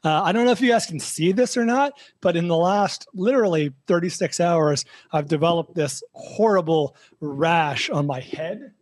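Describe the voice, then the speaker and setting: A man with a hoarse, raspy voice, a show regular giving a halting, filler-heavy health update in casual podcast chat. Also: a little high, no echo, clean studio sound. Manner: halting, many fillers and restarts.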